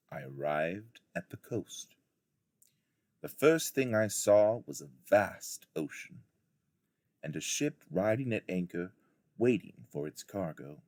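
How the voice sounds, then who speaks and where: A man reading a first-person story aloud like a teacher, with measured, dramatic phrasing and deliberate pauses. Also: sits low, darkish tone, no echo. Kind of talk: expressive storybook narration of a first-person memoir.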